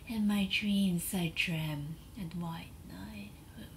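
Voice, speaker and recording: A woman voicing a seductive princess of a dark fantasy kingdom, telling her story as a whispered ASMR bedtime tale in a breathy, sensual, dramatic way. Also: fairly low pitch, steady tone, a little hiss or hum. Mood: haunted, sensual. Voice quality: breathy, mostly unvoiced whisper. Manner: slow, sensual, dramatic pauses.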